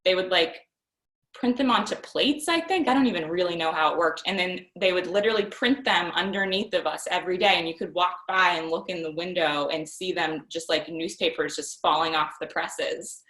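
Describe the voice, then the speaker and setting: A woman's voice: lowish voice, fairly quick, a bit bright. Chatty young woman in a roomy echo. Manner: chatty, informal, self-deprecating. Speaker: magazine writer and editor answering students' questions. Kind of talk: casual question and answer chat.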